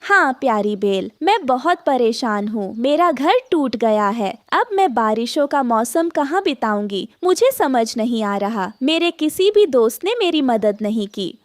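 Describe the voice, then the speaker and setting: A woman voicing a gentle, poor widowed mother bird in a cartoon, speaking politely and earnestly. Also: a little high, very animated, a little slow, hardly any pauses, no room sound, clean studio sound. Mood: sad, troubled.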